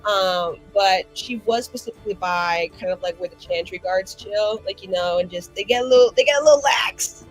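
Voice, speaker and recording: A woman's voice: mid-range voice, normal speed, hardly any pauses, faint music behind, poor audio. A woman playing her character at a tabletop game, rambling with fillers and restarts. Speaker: player. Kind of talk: in-character roleplay chat.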